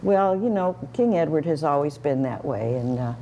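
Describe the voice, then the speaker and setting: A woman's voice: low-pitched, animated, normal speed, warm tone, highs cut off, a little hiss or hum. A woman voicing an easygoing female house cat puppet, talking in a folksy, hesitant way with restarts.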